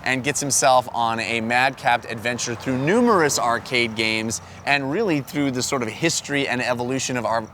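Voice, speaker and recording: A man's voice: medium pitch, normal speed, hardly any pauses, a bit bright, slight background noise. American man hosting a casual film review. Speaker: film review show host. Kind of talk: casual unscripted movie review chat.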